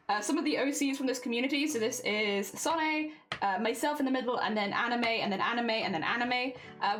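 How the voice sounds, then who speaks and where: A young woman with a cold and asthma, wheezing as she chats with her livestream viewers while embroidering. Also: high-pitched. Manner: chatty, greets and answers chat viewers, laughs often.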